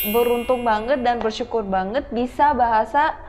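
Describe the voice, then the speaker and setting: A woman's voice: a little high, moderate pace, music in the background. A chatty young woman raised in Indonesia, talking casually as an interview guest and laughing easily. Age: young adult. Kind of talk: casual chat. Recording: dull audio with a crowd behind.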